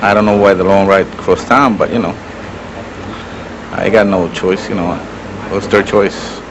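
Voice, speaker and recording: A man's voice: sits low, fairly even intonation, moderate pace. A man talking casually and unscripted in a news interview. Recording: dull, noisy news interview tape.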